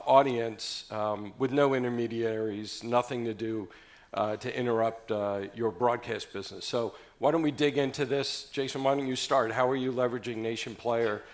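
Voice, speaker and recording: A man moderating a conference panel on radio, laying out topics in a brisk, structured way. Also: medium pitch.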